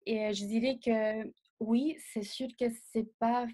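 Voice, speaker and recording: A young woman, not a native speaker of the language, answering interview questions as a designer in a measured way and often pausing to find words. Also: on the high side, steady tone, warm tone. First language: not French. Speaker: designer guest.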